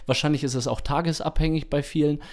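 A man with a voice a little higher than usual co-hosts a podcast. He talks in a casual, conversational style. His wording is colloquial and peppered with slang. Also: steady tone, fast. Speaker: podcast co-host. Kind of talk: casual chat.

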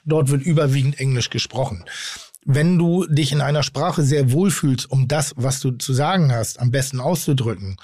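A man speaks in a casual, opinionated podcast conversation, explaining his views bluntly. His voice sits at a higher-than-deep, average male pitch, and the sound is dry and close, without room echo. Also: normal speed, darkish tone. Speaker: podcast host and restaurateur.

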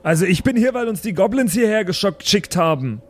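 A man's voice: high-pitched, lively intonation, quick pace. A man playing a blunt, easygoing adventurer in an improvised tabletop audio play, talking colloquially in a conciliatory, questioning way. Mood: frank. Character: blunt, easygoing male adventurer, placating and curious.

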